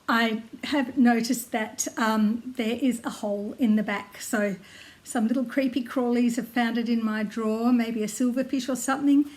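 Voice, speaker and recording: An older Australian woman with a slightly croaky voice, chatting casually to camera from home about her knitting, with frequent ums and small laughs. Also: on the high side. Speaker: knitting vlogger. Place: at home in her lounge room, seated. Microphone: tablet camera close by.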